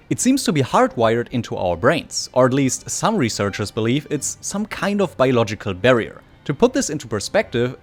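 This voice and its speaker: A male presenter from Germany, whose native language is German, reads a scripted video essay. His pitch sits in the middle and moves up and down a lot. Soft music plays behind him. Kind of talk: scripted narration. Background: soft background music.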